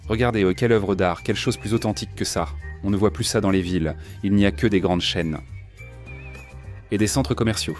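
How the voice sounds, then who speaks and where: A man's voice: lowish voice, brisk, a little mellow, faint music behind. A man hosting a cycling vlog, chatting eagerly as he rides and tours a small shop. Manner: chatty, enthusiastic, often repeats "regarde". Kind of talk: casual on-the-ride vlog chat.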